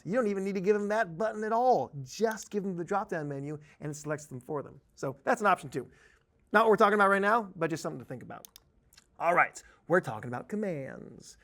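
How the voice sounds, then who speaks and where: A man with a slightly high voice leads a software tutorial. He explains things informally, as a trainer walking learners through a screen, and drops in playful asides. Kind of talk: conversational instructional screen-share tutorial.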